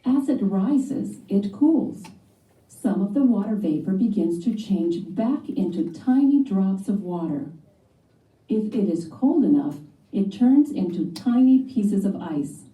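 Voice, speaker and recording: A woman reads a scripted children's science text in a very soft-edged, dark voice. Her pace is slow and measured. She sounds slightly far off, in an echoey room. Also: mid-range voice, lively intonation. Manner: measured, clearly scripted explaining. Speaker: narrator. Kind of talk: scripted educational read-aloud for children.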